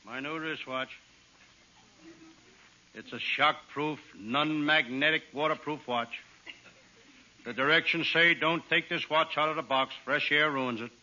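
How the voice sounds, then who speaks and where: An actor playing a wisecracking hard-boiled private eye, delivering comic lines deadpan in 1940s radio style. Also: male voice, fairly high pitch, a little slow, long pauses. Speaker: comic detective narrator. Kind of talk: 1940s radio comedy acting. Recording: dull old radio transcription with hiss.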